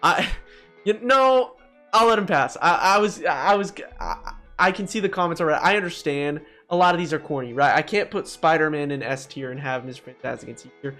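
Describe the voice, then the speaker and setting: A man's voice: on the high side, a little fast, pushed and bright. A man giving casual, blunt, slangy ranking commentary as host of a gaming video. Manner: slangy and blunt, addresses viewers as bro. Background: music.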